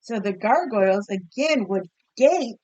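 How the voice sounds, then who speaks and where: A woman with a mid-pitched voice teaches a read-aloud lesson. She speaks as an encouraging teacher, posing questions to children and pronouncing words distinctly so they can repeat them. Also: lively intonation, measured pace, darkish tone, muffled recording.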